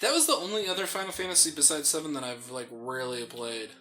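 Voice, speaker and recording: A man's voice: a little high, fast talker, over music. A man chatting casually as co-host of a video game playthrough. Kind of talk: casual chat over gameplay.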